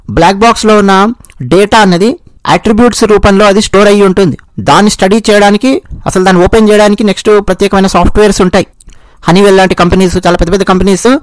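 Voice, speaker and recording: A man's voice: sits high, fairly even intonation, fast talker, dry recording, poor audio. A man with a Telugu accent giving explanatory news commentary, restating and rephrasing his points as he goes.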